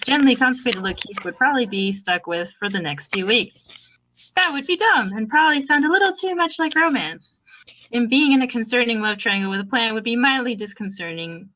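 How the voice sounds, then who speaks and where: A woman's voice: mid-range voice, pushed and bright, rough recording. A woman reading fan fiction aloud as narrator, with wry, dramatic emphasis.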